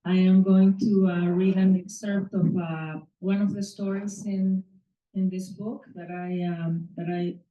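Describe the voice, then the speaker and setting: A woman's voice: medium pitch, unhurried. A woman from Mexico whose first language is Spanish, reading one of her short stories to an audience after a hesitant introduction. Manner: hesitant with many filler words, then steady reading. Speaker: author reading her story. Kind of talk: reading a short story aloud. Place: bookstore or small venue reading. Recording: distant mic, echoey room, dull sound.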